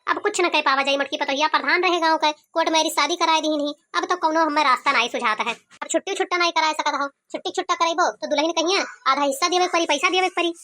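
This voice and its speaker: A woman's voice: high voice, quick pace, hardly any pauses, pushed and bright. A woman voicing a pragmatic old village grandmother with an Awadhi accent in a cartoon comedy. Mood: resigned.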